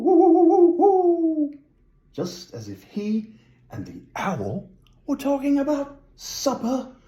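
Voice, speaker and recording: Mellow, slow-paced man reading a children's story. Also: mid-range voice, roomy sound, dull audio. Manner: whimsical, musing asides to the listener. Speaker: storybook reader.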